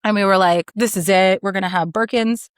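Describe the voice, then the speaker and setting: A confident woman in her thirties, a podcast guest from Maryland. She tells her life story in a chatty, upbeat flow at a middle pitch. The sound is dry and close. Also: steady tone, brisk, smooth, no echo. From Maryland, USA. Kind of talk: casual conversation.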